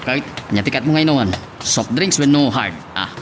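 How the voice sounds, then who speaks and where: A man's voice: mid-range voice, lively intonation, moderate pace, music in the background, lightly acted. A man with an Ilocano accent playing a cocky, chuckling crook who goads his pal in an audio drama. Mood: teasing. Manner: chuckles often, goading. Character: cocky, jolly male crook luring his pal into drug running. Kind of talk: Ilocano radio-style drama acting.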